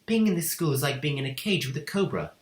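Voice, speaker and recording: Clever calm schoolgirl, voiced by a male reader, high and earnest, roomy sound. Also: quick pace, natural voice. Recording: echoey room.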